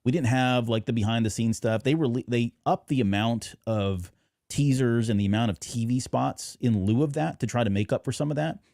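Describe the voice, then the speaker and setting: A man's voice: medium pitch, a little fast, vocal fry, dark tone, no echo, clean studio sound. A middle-aged man hosting a fan podcast, talking through his points in a casual, agreeable, discursive way. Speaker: podcast host.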